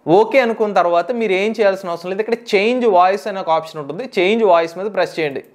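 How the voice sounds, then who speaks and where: A man with a Telugu accent hosting a step-by-step software tutorial, instructional and mixing in English tech terms. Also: sits high, very fast. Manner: instructional, mixes English tech words into speech.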